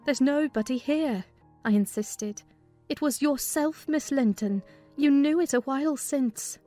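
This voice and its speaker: A woman plays a sensible housekeeper telling a story from a classic novel. Her fairly high voice is measured in narration and turns firm and scolding in dialogue, over soft music. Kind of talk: dramatized first-person narration of a classic novel.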